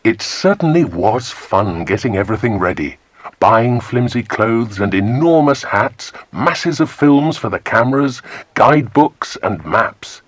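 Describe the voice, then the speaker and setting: A man's voice: mid-range voice, expressive, measured pace, dry recording. A man narrating a children's audiobook as a storyteller.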